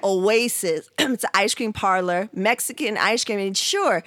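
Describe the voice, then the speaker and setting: A woman's voice: medium pitch, measured pace, fairly bright tone, no room sound. A woman in her thirties from San Diego hosting a podcast, talking in a laid-back, slangy way and laughing often. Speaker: podcast host. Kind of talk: casual podcast chat.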